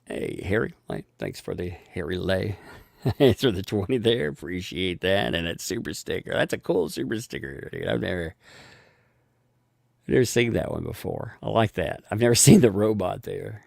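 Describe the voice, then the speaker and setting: A man hosting a casual live stream, thanking viewers for donations and rambling with frequent restarts and chuckles; he has a gravelly voice. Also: sits low, lively intonation, moderate pace, darkish tone, no echo, studio quality.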